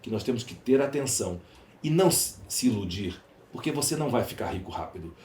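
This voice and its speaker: A man's voice: medium pitch, animated, brisk, a little mellow. A man with a Brazilian accent speaking as a podcast guest, emphatic and repeating phrases for stress in conversational answers. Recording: roomy echo, clear background noise.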